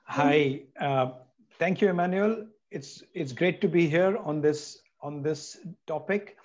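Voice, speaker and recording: A man from India speaking as a panelist on a video call, measured and reflective. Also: a little high, darkish tone. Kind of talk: panel discussion. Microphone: webcam on a video call. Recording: dull video call audio.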